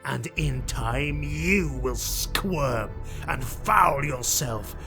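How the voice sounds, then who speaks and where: A man voicing a proud, hate-filled dark-elf nobleman, declaiming defiantly and theatrically in a fantasy audiobook. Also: mid-range voice, animated, drawn-out words, creaky, music in the background, full character voice. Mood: hateful vow.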